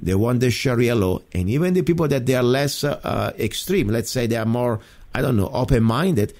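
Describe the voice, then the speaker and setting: A man's voice: mid-range voice, expressive, a little fast, a little mellow. A man from Italy with an Italian accent, hosting a radio call-in show in an emphatic, repetitive way. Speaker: radio talk show host. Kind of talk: opinionated call-in chat.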